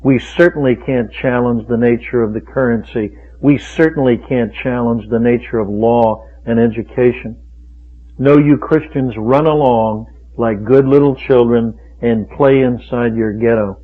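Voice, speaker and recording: A man's voice: medium pitch, fairly even intonation, a little slow, husky. A man delivers an impassioned sermon in a mid-range voice, hammering his points with repetition and rhetorical questions. The sound is thin and narrow, like an old radio broadcast over a phone line. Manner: emphatic, rhetorical, repeats phrases for force. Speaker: preacher. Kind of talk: fiery sermon. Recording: tinny phone-like radio recording.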